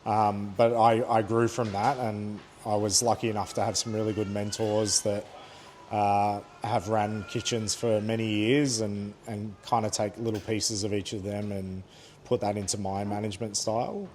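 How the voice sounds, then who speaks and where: An Australian man in his thirties, a head chef chatting easily in an interview, pausing with fillers and laughing now and then. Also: fairly low pitch, little pitch movement, darkish tone, slight background noise. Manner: easygoing, laughs often, thoughtful pauses with fillers. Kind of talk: relaxed conversational interview.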